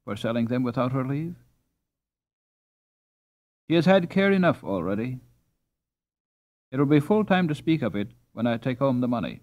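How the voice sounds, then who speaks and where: A man's voice: mid-range voice, sing-song, moderate pace, long pauses, a little mellow, natural voice. A man voicing a humble, polite and honest poor Dutch boy in a storybook. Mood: resolute. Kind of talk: storybook character reading.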